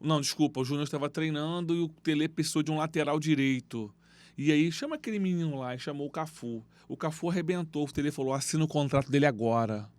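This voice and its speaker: A man who coaches youth football, speaking as a podcast guest and telling stories in animated street slang. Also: fairly high pitch, fast talker. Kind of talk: casual interview talk. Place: podcast studio.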